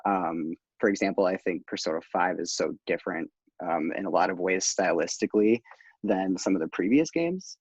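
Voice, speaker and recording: A young man with a low, husky voice talks casually and thoughtfully. The video-call sound is dull and dry, as he puts a question to a panel. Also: normal speed, dry recording. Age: 20s. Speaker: student audience member asking a question. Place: small room at home, indoors.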